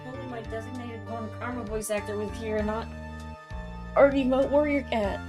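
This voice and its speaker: A woman's voice: a little high, fairly even intonation, moderate pace, music in the background. A playful, joking woman giving casual live commentary as she plays a game and voices its characters. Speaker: streamer playing a game and voicing its characters.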